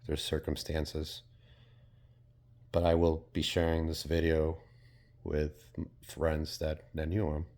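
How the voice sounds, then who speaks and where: A man's voice: a bass voice, completely flat delivery, slow pace, long pauses, a little mellow. A man from Portugal talking in a casual, reflective vlog monologue, rambling and hesitant, with many fillers and casual swearing. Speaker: vlogger.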